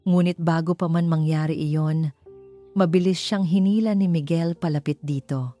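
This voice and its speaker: Woman, Filipino accent, low voice, mellow dark tone, scripted romance storytelling, music behind. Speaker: story narrator.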